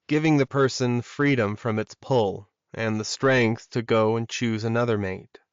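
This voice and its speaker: A man's voice: fairly low pitch, smooth, no room sound, highs cut off. A man reading scripted fiction as an audiobook narrator.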